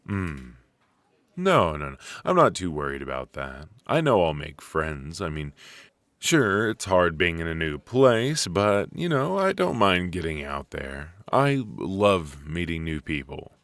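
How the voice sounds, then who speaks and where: A man acting a friendly, chatty extrovert at a coffee shop mixer in an ASMR audio roleplay, conversational and reassuring, pausing for an unheard listener. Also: low voice, very animated, fairly bright tone, no room sound, full character voice. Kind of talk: ASMR roleplay, casual one-sided conversation.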